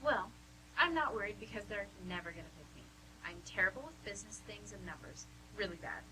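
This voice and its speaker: A woman's voice: mid-range voice, expressive, brisk, natural voice. Whiny, bored young woman juror played by an actress, noisy hum. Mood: dismissive. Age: young adult. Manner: complaining, sarcastic slangy talk. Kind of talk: amateur radio play acting. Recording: amateur radio, humming background noise.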